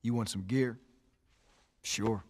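A man's voice: on the low side, steady tone, takes it slowly, a little mellow. An actor playing an earnest, wary middle-aged family man in a survival video game, plain-spoken with a Southern US drawl. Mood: resentful. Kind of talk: cinematic video game acting. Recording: music behind the voice.

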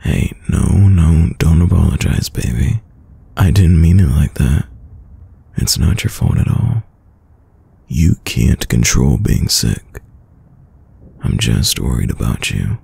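A caring boyfriend acted by a man speaks softly in an ASMR roleplay, close and very dry. The voice is heavily fried and husky, rising and dipping expressively as he gently frets and soothes. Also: big pitch swings, no room sound, exaggerated voice. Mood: reassuring, worried. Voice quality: deep, gravelly, husky. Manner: soft, slow, soothing, hesitant. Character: caring, worried, doting young boyfriend. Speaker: audio roleplay voice actor.

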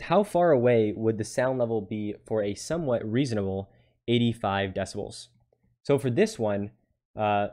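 A man's voice: mid-range voice, darkish tone. A man giving a casual tutorial as a tutor, explaining step by step and often checking in with "right?". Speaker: physics tutor. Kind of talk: casual worked-problem tutorial.

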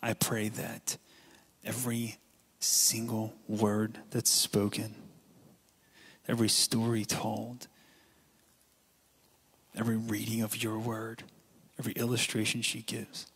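A man on a church stage praying slowly and deliberately into a stand mic before the sermon. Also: on the low side, monotone, fairly bright tone, husky. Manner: slow, deliberate prayer. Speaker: worship leader praying. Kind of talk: spoken prayer. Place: church stage behind keyboard.

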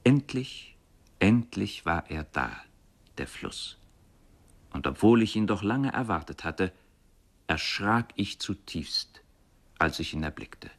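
An actor playing a reflective man recalling his youthful wandering, in measured, contemplative literary radio narration. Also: sits low, animated, full character voice. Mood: reflective, expectant. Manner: measured, contemplative, carefully phrased.

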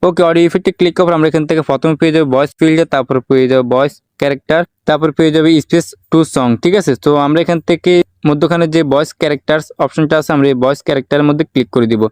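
A man's voice: fairly high pitch, fairly even intonation, racing, darkish tone, smooth, no echo. A man narrating a step-by-step tutorial, explaining repetitively and mixing in English words. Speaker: tutorial host.

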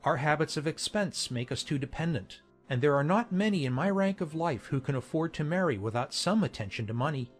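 An actor playing a courteous gentleman colonel of noble birth in a dramatized audiobook, speaking in polite, measured, formal phrases. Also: a little high, animated. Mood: candid, polite. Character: courteous gentleman colonel, an earl's younger son. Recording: music behind the voice.

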